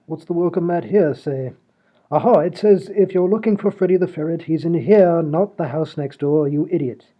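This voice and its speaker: A man's voice: fairly high pitch, moderate pace, lightly acted. A man voicing a prim, polite upper-class lord in a comedy radio play. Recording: dull lo-fi audio.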